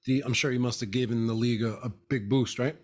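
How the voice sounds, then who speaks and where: A man's voice: mid-range voice, fairly even intonation, fast, a little mellow. A man hosting an interview, asking casual questions. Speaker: podcast host.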